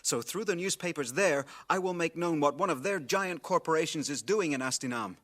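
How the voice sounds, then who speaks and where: An actor playing an idealistic foreign reporter in a radio drama, earnest, indignant and emphatic. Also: male voice, fairly high pitch, fairly quick, fairly bright tone, lightly acted. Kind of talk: 1980 radio drama acting.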